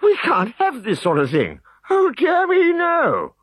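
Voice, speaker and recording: A man voicing a bossy, excitable Gaulish village chief who blusters and barks orders. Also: very high-pitched, unhurried, no echo, dull audio, pitched above the performer's own voice, heavily acted. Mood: indignant.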